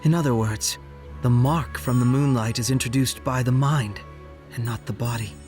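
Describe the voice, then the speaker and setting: A man's voice: medium pitch, moderate pace, a little mellow, natural voice. A man playing a level-headed young ninja in an anime game cutscene, explaining things with composure. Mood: thoughtful. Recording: music under the dialogue.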